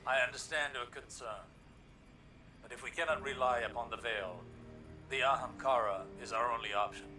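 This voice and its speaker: A grave, thoughtful male scholar from a sci-fi video game, played by an actor, speaks formally and earnestly in a mid-pitched, measured voice. Prominent music plays underneath. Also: natural voice. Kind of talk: scripted video game dialogue.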